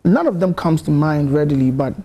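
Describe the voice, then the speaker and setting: A Ghanaian man in his thirties speaks as a guest on a studio talk show. His voice is dark and mellow, with a gravelly fry, and he talks softly and hesitantly, repeating words as he gathers his thoughts. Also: fairly high pitch, brisk. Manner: soft-spoken, hesitant, repeats words while thinking. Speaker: gospel musician guest. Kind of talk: studio TV interview. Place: bright TV studio.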